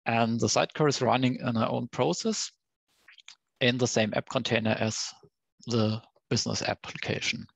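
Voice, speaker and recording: A man giving an explanatory tech presentation on an online call, in a careful, halting way. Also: mid-range voice, fairly even intonation, creaky, breathy edge, no echo. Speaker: guest presenter, software developer. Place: online conference call with screen share.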